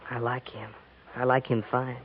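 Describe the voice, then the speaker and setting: An actor playing an earnest, pleading young frontier farm boy with a rural American accent and a halting, folksy manner in a 1950s radio western. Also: mid-range voice, little pitch movement, heavy background noise, natural voice. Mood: shy, talkative. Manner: halting, folksy, stammering when pressed. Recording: dull 1950s radio recording.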